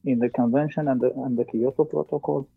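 Husky Algerian man on a phone-like line. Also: mid-range voice, fairly even intonation, quick pace, no echo. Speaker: climate policy consultant, interview guest. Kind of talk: explanatory interview answers. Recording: thin phone-like call audio, faint music.

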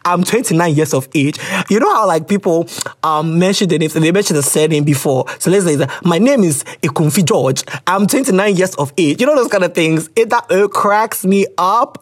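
A woman with a Ghanaian English accent hosting a podcast, reading out listener letters in a loose, swear-heavy, laughing way. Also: lowish voice. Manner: laughs a lot, swears, animated reactions. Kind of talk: casual chat.

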